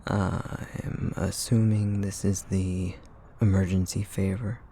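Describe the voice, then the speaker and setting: A man acts out a cheeky male pest in an ASMR roleplay. He talks in a full voice, louder than a murmur, with breezy confidence. The delivery is teasing and a little theatrical. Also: deep voice, flat delivery, slow pace, gravelly, a little hiss or hum, voice pushed down, exaggerated voice.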